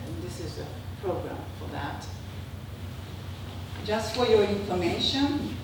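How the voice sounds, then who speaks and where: Older female presenter, native Japanese speaker with Japanese accent, measured halting delivery, far from the mic with clear background noise. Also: fairly low pitch, very echoey. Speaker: presenter, traditional Japanese dancer. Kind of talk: prepared autobiographical slide talk.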